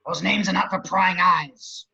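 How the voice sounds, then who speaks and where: A man playing a crude, lecherous, scheming villain running for mayor, with a very raspy voice and wisecracking delivery, in an amateur script read. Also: sits high, fairly even intonation, measured pace, sharp tone, muffled recording, exaggerated voice. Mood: indignant. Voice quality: very raspy, gravelly. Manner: leering, wisecracking.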